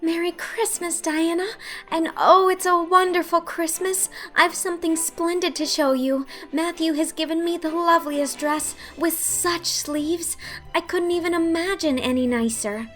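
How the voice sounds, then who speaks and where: A woman's voice: extremely high pitch, steady tone, measured pace, fairly bright tone, faint music behind, pitched above the performer's own voice. A woman voicing a chatty, dreamy orphan girl who gushes effusively. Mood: excited. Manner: gushing, effusive.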